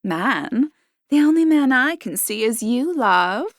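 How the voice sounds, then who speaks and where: Woman doing a shrill flirty brothel woman. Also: expressive, slow pace, dry recording, clean studio sound, heavily acted. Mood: flirtatious. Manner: coy and purring, turning to shrill squeals.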